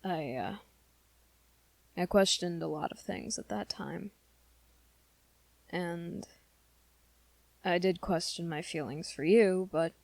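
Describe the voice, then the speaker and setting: A woman playing an earnest, lovesick young male werewolf in an intimate ASMR roleplay, confiding softly and haltingly in a friend. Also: lowish voice, no echo. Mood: hesitant, tender. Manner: hesitant, halting, soft-spoken confession.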